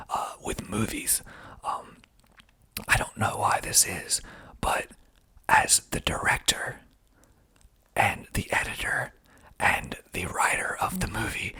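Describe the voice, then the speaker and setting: Whispering man, very breathy and hushed. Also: lowish voice. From southern United States (Georgia). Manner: hushed, deliberate, conversational. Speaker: filmmaker hosting his own channel. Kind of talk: ASMR whispering.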